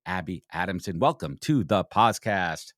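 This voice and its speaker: A man with a Brooklyn accent hosting a podcast, energetic, blunt and joking. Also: low-pitched, normal speed, no echo, clean studio sound. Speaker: podcast host. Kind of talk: casual interview chat.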